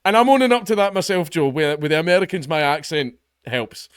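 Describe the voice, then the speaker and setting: A man's voice: lively intonation, fairly quick, clean tone, no room sound, studio quality. A Scottish man commenting on an article in a casual, sarcastic, sweary way, mocking the people he quotes. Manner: reads article passages in a lower, quieter voice. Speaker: online commentator.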